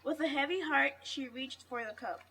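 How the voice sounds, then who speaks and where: Woman, high voice, bright tone, storybook read-aloud, slight background noise. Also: moderate pace. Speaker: reader at a read-aloud event. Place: school library.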